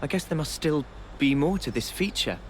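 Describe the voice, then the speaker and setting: A man voicing an earnest, gentle young swordsman in a video game cutscene, speaking mildly with some hesitance. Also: fairly high pitch, animated, moderate pace, slight background noise, close to an everyday voice. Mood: wondering.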